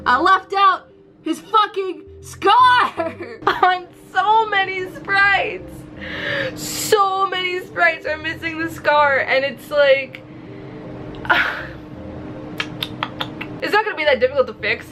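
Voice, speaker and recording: A woman chatting casually in a video diary, with an animated, expressive, self-mocking manner and casual swearing. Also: very high voice, takes it slowly, pauses often, low-quality recording. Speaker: visual novel developer and vlogger. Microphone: recording to camera. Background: background music.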